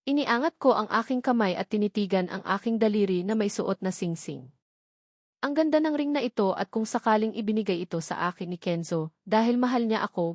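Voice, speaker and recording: A woman's voice: medium pitch, dry recording, clean studio sound. A woman with a Filipino accent narrating a first-person romance novel as a scripted story. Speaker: audiobook narrator.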